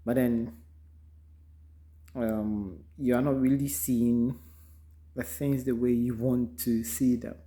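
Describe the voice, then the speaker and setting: A man from Ghana with a Ghanaian accent, giving an unhurried, reflective motivational talk full of ums, recorded in a car. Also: medium pitch, fairly even intonation, warm tone. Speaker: vlogger. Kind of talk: casual motivational monologue.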